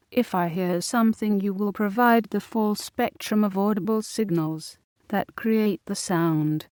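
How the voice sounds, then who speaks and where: A woman speaks as a hypnotist in a scripted recording, slow and calm but firmly commanding. Her voice sits at an ordinary middle pitch. The sound is dry, with no room around it. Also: clear, resonant tone, no echo.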